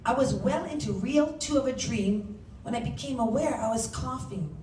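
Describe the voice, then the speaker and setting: Female author reading own novel, higher-pitched, animated storyteller, recorded at a distance in an echoey room. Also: normal speed. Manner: animated, acts out shouted dialogue. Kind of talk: dramatic first-person story reading. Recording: roomy, distant mic, faint background noise.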